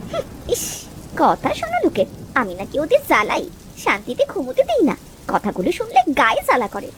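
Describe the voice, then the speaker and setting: A woman playing a nagging but devoted village housewife in a cartoon, expressive, shifting between scolding and coaxing. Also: high voice, a little fast, a little hiss or hum, low-quality recording. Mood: irritated, nagging. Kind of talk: cartoon voice acting.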